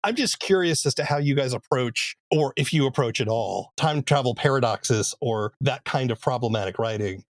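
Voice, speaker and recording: Male podcast host, mid-pitched, curious questioner, dry close mic. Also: animated, moderate pace, few pauses, dry recording. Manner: curious, asks long questions, frequent short agreement. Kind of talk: casual podcast interview.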